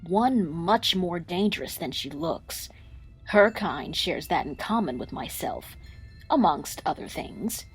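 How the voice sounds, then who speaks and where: A woman's voice: on the low side, expressive, slow, husky, soft background music, heavily acted. A woman voicing a playfully cruel magical fox trickster in an audio drama, with a taunting, theatrical drawl. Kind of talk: fan audio drama acting.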